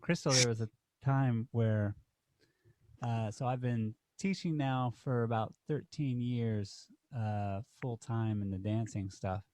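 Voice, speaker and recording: A man co-hosting a podcast, a dance teacher speaking in a halting, heartfelt way with many restarts. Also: medium pitch, darkish tone. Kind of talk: casual podcast conversation.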